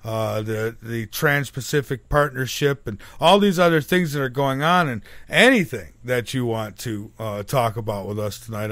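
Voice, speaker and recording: A man's voice: mid-range voice, a bit bright, no room sound. A man hosting a radio show, rambling through his questions with frequent fillers. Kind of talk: casual radio interview.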